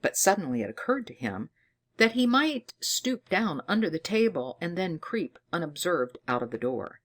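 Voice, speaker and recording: A narrator reading a fairy tale in an expressive, theatrical storytelling manner. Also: medium pitch, normal speed.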